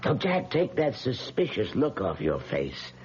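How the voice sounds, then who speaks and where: An actor playing a smooth, commanding elderly businessman in an old radio drama, measured, coaxing and condescending. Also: medium pitch, huge pitch swings, a little mellow. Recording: dull, hissy vintage radio recording.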